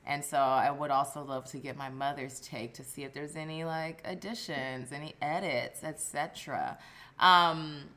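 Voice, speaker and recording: Bright-voiced bubbly woman podcast host. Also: low voice, fairly even intonation, moderate pace. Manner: bubbly, laughing, chatty with fillers. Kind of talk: casual podcast chat.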